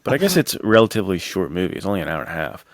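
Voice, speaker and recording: Deep-voiced American man chatting casually. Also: very animated, rapid-fire, no echo. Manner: informal, slangy, enthusiastic. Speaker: podcast co-host. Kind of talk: casual film chat.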